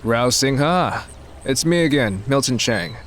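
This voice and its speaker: A man's voice: medium pitch, animated, measured pace, a bit bright. Smug wealthy businessman, acted by a man, noisy recording. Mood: smug persuasion. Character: smug wealthy Chinese businessman, pleading and persuasive. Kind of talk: audio drama acting.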